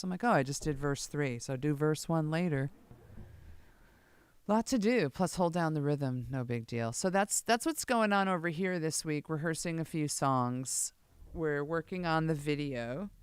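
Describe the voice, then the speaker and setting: A woman bassist with a low voice, hosting a live session and chatting casually and self-jokingly to her viewers as she rehearses. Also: clear, resonant tone, no room sound. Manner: rambling, self-joking, addresses viewers as "guys". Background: loud bass guitar and music.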